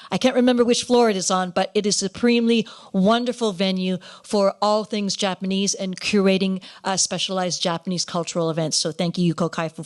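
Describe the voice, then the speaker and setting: A woman hosting a live awards ceremony, speaking effusively and emphatically with halting, dramatic pauses. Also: mid-range voice. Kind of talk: live event hosting, introducing presenters. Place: live in-person ceremony before an audience.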